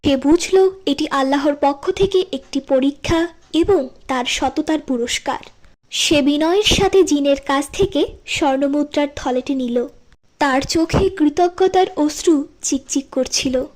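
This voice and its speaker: A woman's voice: high-pitched, a little slow, a little mellow. A woman narrating a scripted moral story for a cartoon. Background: music.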